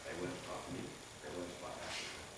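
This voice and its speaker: A man from Zimbabwe giving a public lecture as guest speaker, lively, jumping between loud bursts and quiet asides. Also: sits low, rapid-fire. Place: large lecture hall. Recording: echoey lecture hall, mic far off, background noise.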